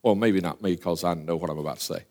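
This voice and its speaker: A man preaching a sermon with fervor, often dropping to quiet asides. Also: sits low, fast talker. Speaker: pastor. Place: church.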